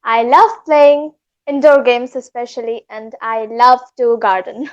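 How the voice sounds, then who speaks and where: Brief: upbeat teen vlogger from India, high voice. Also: female voice, fairly even intonation, a little slow, dull audio. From West Bengal, India. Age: teenager. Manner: polite and upbeat, light laughs. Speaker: vlogger and student. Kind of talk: vlog intro and casual chat.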